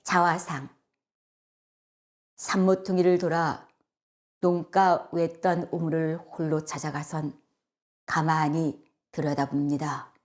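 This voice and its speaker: A woman voicing an earnest, sorrowful young male poet in a storybook, speaking in a solemn, measured, wistful way. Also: low-pitched. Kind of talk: storybook acting and solemn poetry recital.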